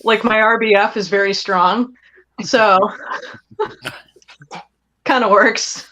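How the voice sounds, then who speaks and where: A woman's voice: mid-range voice, extremely slow, pushed and bright, low-quality recording. A woman chatting casually as a guest actress in an interview. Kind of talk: casual chat.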